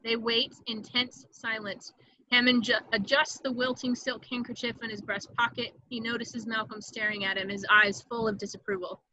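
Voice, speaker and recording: A woman's voice: a little high, level tone, normal speed, pushed and bright, no room sound, low-quality recording. A woman reading scripted stage directions as narrator of a screenplay, descriptive with an occasional stumble.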